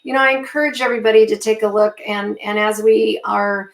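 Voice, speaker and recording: Hoarse woman hosting a live stream. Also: mid-range voice, flat delivery, roomy sound, poor audio. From Southern California. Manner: halting, many fillers. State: losing her voice, clearing her throat. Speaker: co-host of a live cooking show. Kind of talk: live-streamed casual chat.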